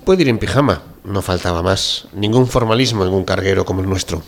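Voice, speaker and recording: A man acts out a deferential ship's doctor in a radio drama. He has a lowish voice and explains things with formal, fussy courtesy. There is faint background noise around him. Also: brisk. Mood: polite, apologetic. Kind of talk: amateur radio drama reading.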